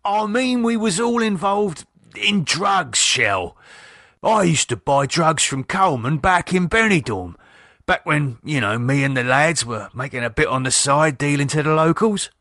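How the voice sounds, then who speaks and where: Guy doing a worried fella, bright edgy tone, rough gravelly voice, stammery. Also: a little high, animated, no echo, clean studio sound, voice pushed up, heavily acted. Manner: nervous, halting, pleading. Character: anxious working-class English husband.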